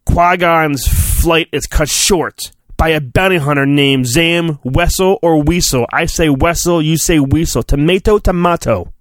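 A man's voice: fairly high pitch, measured pace, few pauses, no room sound. A man hosting a podcast, giving a comic, mock-earnest dramatic storybook reading with chatty asides and his own sound effects.

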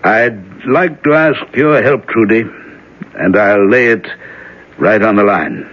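An actor playing an older minister and troubled father, speaking in a measured, earnest, gently probing way in a 1970s radio drama. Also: lowish voice, lively intonation. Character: aging reverend, troubled worried father. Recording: muffled old radio broadcast.

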